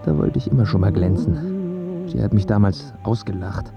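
A man's voice: fairly low pitch, very animated, normal speed, creaky, highs cut off, over loud music. An actor in a radio drama playing a brash, vain male pop singer who boasts in slang and platitudes. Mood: cocky, spiteful.

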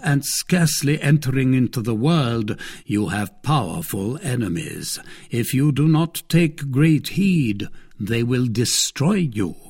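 Guy reading as a grand scheming cardinal, formal n condescending, pitch swings up and down, bit husky, audiobook style. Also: a little mellow, exaggerated voice. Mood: counselling. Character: grand, shrewd cardinal, commanding male statesman, persuasive and disdainful.

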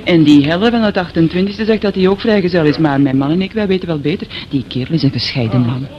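A woman's voice: low-pitched, fast, close to an everyday voice. A woman acting a nosy, chatty, complaining housewife in a Dutch radio play, given to exclamations. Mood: gossipy, mocking. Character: nosy gossiping housewife, forever quoting her husband. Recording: dull old radio recording, faint noise.

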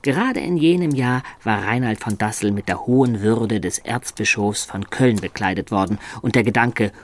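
A man's voice: lowish voice, normal speed, close to an everyday voice. An actor playing a cheerful, sly, self-satisfied medieval liar who tells his tale in a playful, theatrical way for a German radio play. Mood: wry narrating.

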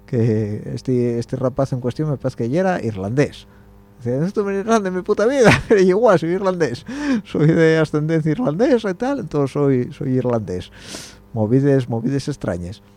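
A man's voice: fairly high pitch, big pitch swings, moderate pace, darkish tone. A young man, hosting a casual radio chat, rambling, laughing often and trailing off mid-sentence. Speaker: radio show host.

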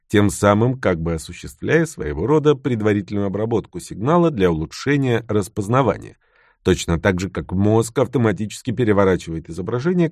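A man with a low voice reads a scripted text aloud and acts out the quoted retorts with energy. His pitch swings widely from line to line. The sound is dry and close, with no room around it. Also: no room sound. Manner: animated reading with acted-out quoted retorts. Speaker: narrator. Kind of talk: scripted book narration.

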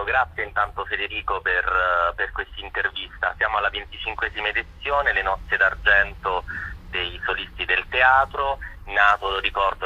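A man's voice: on the low side, pushed and bright, breathy edge. A man giving an informative interview as a guest over a dull telephone line. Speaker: interview guest, festival artistic consultant.

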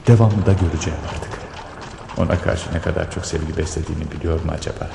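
A man's voice: extremely low pitch, fairly even intonation, fast, vocal fry, noisy background, full character voice. A man playing an ardent, intense officer in earnest, declamatory radio theater style. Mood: rapt, yearning. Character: lovestruck, intense male officer in a wartime romance.

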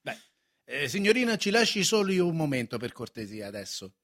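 Agitated country uncle, high bright voice, wide swings of pitch, domineering, scolding, stagey radio play. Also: male voice, sing-song, brisk, heavily acted. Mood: hearty, imperious. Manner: emphatic, scolding, theatrical. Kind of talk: theatrical radio drama.

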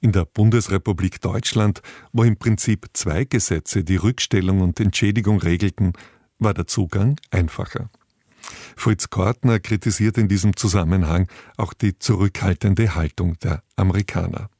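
A man presents a scripted radio introduction in a low voice. His delivery is measured, factual and formal. The sound is dry, as in a studio with no room echo. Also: dark tone.

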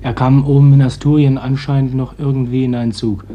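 A man's voice: mid-range voice, level tone, fairly quick, dark tone, muffled recording, a little hiss or hum, close to an everyday voice. A man playing an earnest, defiant young fisherman's son in a radio play, speaking bluntly. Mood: grave, recounting. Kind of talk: German radio play acting.

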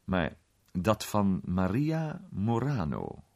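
A man voicing a calm, polite, erudite gentleman sleuth with measured manners in a story reading. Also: deep voice, heavily acted. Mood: polite urging.

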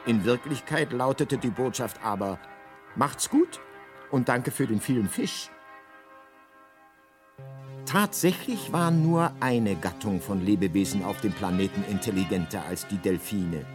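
A wry, omniscient radio-play narrator. His intonation moves freely while the irony stays dry and deliberate. Music plays clearly behind him. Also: on the low side, expressive. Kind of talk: scripted radio drama narration.

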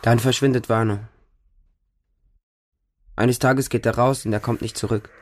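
A man's voice: medium pitch, steady tone, measured pace, slight background noise, close to an everyday voice. An actor narrating a radio drama as a bleak, hardened homeless Russian street boy, in short, stark sentences. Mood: desolate. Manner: short, plain, stark present-tense sentences.

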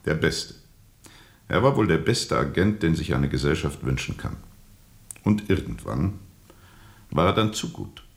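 An actor playing a weary, bitterly ironic steamboat captain in a radio play, speaking deliberately and sardonically with weighty pauses. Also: male voice, rumbling low, big pitch swings, gravelly, full character voice. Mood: brooding. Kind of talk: radio play acting, testimony under questioning.

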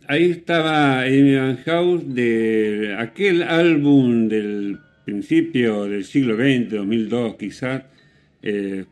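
A man with an Argentine Spanish accent hosting a radio show, commenting casually on music with opinions in a rambling, halting way. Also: on the low side, darkish tone. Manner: rambling, hesitant with self-corrections and rhetorical questions. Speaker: radio host.

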